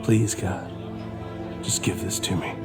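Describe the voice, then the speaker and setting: An actor playing a guarded, determined thief in an audio drama, with a terse, muttering delivery. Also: male voice, deep voice, steady tone, slow pace, vocal fry, over music, exaggerated voice. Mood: desperate, resolute. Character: tense, desperate male thief, guarded and determined.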